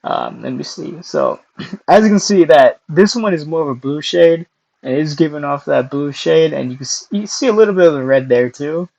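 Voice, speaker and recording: A young man hosting a casual screen-recorded software tutorial, hoarse and losing his voice, clearing his throat often between steps. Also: fairly high pitch, expressive, moderate pace, lo-fi sound. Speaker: tutorial host.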